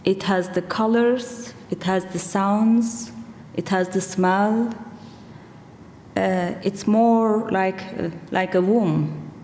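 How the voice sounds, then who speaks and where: Slow, thoughtful Kurdish-born woman poet. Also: mid-range voice, steady tone, darkish tone. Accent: Turkish. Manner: slow, thoughtful, searching for words. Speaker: poet on a festival panel. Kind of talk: panel discussion answer. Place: large hall with audience. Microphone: table microphone. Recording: roomy hall reverb, faint room noise.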